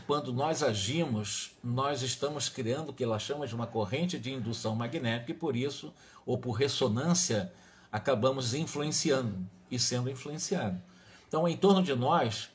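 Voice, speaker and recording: A man with a Brazilian accent giving a religious study talk, reading passages and explaining them in a didactic, emphatic way with frequent rephrasing. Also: mid-range voice. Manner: didactic, emphatic, often repeats and rephrases with check tags. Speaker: spiritist lecturer.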